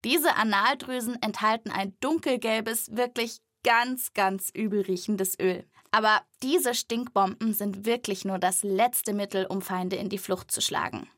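A woman presenting a children's podcast as an animal reporter, in lively scripted narration addressed to young listeners. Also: medium pitch, measured pace, hardly any pauses, dry recording, studio quality.

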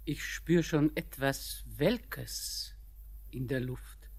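An actress playing a blind, wistful old grandmother in a classic radio play, speaking in a hushed, brooding way. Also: rumbling low, very slow, husky, heavily acted. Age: older.

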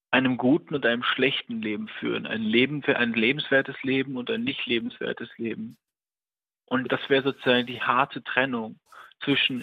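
A man's voice: medium pitch, breathy edge. A man being interviewed as a writer, speaking reflectively and hesitantly, searching for his words. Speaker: writer and radio play director interviewed. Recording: muffled phone-like remote line.

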